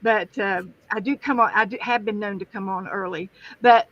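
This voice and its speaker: A woman's voice: medium pitch, fairly even intonation, normal speed, fairly bright tone, dry recording. A woman with a West Virginian accent, telling rambling stories in a casual livestream chat from her home craft room. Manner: chatty, rambling storyteller. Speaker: guest crafter and streamer. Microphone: livestream camera setup. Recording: dull, dry sound.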